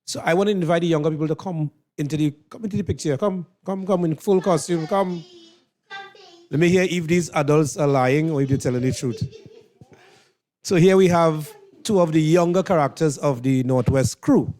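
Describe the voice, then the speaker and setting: A man's voice: on the high side, moderate pace, a little mellow. A man with a Trinidadian accent hosting a casual interview as the one asking questions. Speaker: interviewer and host.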